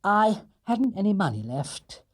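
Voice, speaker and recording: A man's voice: sits high, very animated, measured pace, pitched above the performer's own voice, exaggerated voice. A man voicing a polite, earnest young male bear in a children's story, with a childlike, apologetic manner.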